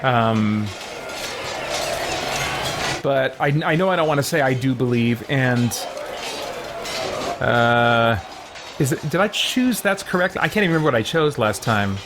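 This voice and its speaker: A man's voice: medium pitch, lively intonation, unhurried, long pauses. A man giving casual live-stream game commentary, rambling as he thinks aloud and acts out lines. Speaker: streamer playing a roleplay game. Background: music.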